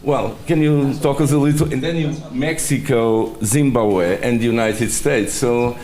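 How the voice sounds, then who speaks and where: A Portuguese man moderating a public author talk, asking questions in halting English as he searches for words. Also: mid-range voice, a little mellow.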